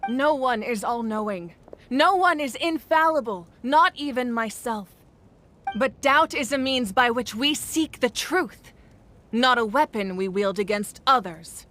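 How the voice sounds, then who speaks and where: A woman acting a composed, commanding ruler in a video game, speaking with formal, measured authority. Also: a little high, lively intonation, a bit bright, slight background noise. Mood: stern, resolute. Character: commanding, composed female ruler of a warrior nation.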